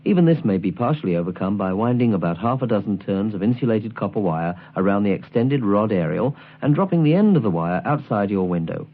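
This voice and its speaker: A man reading scripted instructional narration in a measured, precisely enunciated way, as the presenter of a tutorial album. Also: fairly low pitch, expressive. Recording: tinny, band-limited 1979 album recording.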